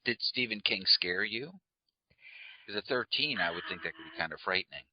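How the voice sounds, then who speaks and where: Host: man, very low voice, relaxed and rambling, dry slightly muffled recording. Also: brisk. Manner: relaxed, rambling asides, some slips. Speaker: interview host. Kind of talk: casual interview chat.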